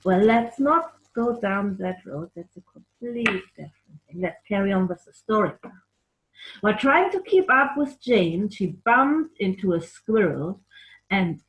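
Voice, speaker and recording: Slow-paced woman telling a children's story. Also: medium pitch, slight background noise, rough recording. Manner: animated, explanatory, makes playful sound effects. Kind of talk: expressive storytelling with playful asides to a bear puppet.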